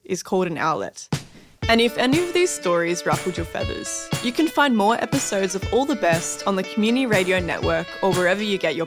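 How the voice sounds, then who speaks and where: A woman with an Australian accent reading a scripted outro and credits as a radio show host. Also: mid-range voice. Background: music bed.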